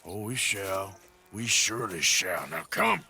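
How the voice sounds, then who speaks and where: A man's voice: sits low, sing-song, unhurried, vocal fry, bright, forceful tone, a little hiss or hum, exaggerated voice. A man playing a weary, wry Western outlaw with a conscience in a video game, talking plainly with dry sarcasm. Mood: grim, determined. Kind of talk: dramatic video game acting.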